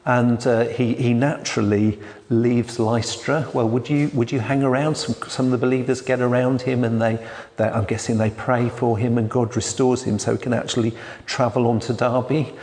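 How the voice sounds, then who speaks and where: A man, a church elder giving a sermon in a quiet, hesitant way with many restarts. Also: mid-range voice, fairly even intonation, moderate pace. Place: church hall. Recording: long hall reverb.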